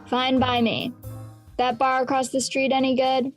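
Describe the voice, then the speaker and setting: An actress playing a world-weary, independent demon hunter in a scripted audio drama, dry, blunt and sardonic. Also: female voice, sits high, completely flat delivery, a little slow. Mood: dry, confident. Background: music.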